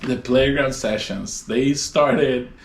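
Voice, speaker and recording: A man whose first language is Spanish, talking casually about his music as an interview guest at home, relaxed and laughing often. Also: mid-range voice, slow pace, creaky. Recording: echoey room with faint noise.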